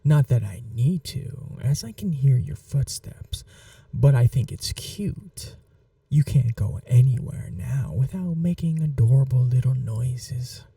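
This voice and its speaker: Man playing a slow, whispery, dark-voiced vampire master. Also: mid-range voice, lively intonation, no room sound, recorded from a distance. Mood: possessive, sweet. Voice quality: soft breathy half-whisper. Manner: languid, teasing, cooing. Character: possessive vampire master, seductive, teasing, condescending to a human pet. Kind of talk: ASMR roleplay, intimate slow monologue.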